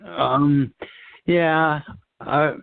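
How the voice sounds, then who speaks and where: A man offering an unscripted spiritual reflection, haltingly searching for words with long pauses. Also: on the high side, steady tone, creaky, dry recording, narrow, tinny audio. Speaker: discussion participant.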